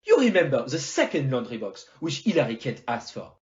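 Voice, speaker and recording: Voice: medium pitch, very animated, a little fast, muffled recording, lightly acted. An amateur actor in an audio play playing a fussy, confident Belgian detective, theatrical and didactic, with French phrases and rhetorical tags. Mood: assured, didactic.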